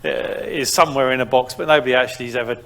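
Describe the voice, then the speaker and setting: Middle pitched guy running a q&a, chatty, kinda halting as he words things. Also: gravelly, a bit bright. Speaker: panel moderator. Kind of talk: informal discussion at an industry event.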